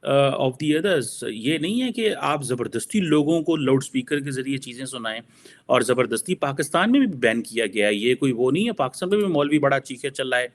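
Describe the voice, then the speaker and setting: A man's voice: medium pitch, lively intonation, a little fast. A man with a Pakistani accent explains his opinions as a political analyst in informal commentary, mixing in English phrases.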